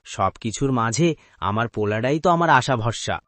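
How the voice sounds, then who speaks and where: Casting: hopeful poor father played by a man, medium pitch, dry cartoon recording. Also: lively intonation, normal speed, a bit bright, no room sound, faint music behind, close to an everyday voice. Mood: reassuring. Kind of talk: cartoon voice acting.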